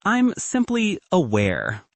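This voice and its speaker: A tense young philosophy student from a narrated story, acted by a man. He is dry and defensive, insisting with clipped words. His pitch moves a lot and the sound is close and dry. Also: huge pitch swings, takes it slowly, gravelly, no room sound, heavily acted. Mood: defensive, stubborn.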